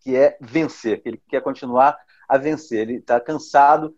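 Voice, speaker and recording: A man's voice: medium pitch, normal speed, a little mellow. Thoughtful man, slightly lo-fi. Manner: thoughtful, with hesitations. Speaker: football commentator. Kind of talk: podcast discussion.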